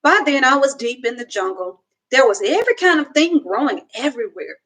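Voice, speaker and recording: A young woman reading a children's book aloud at home into a webcam, with slow, expressive storytelling. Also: sits high. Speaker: reader. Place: home room, indoors.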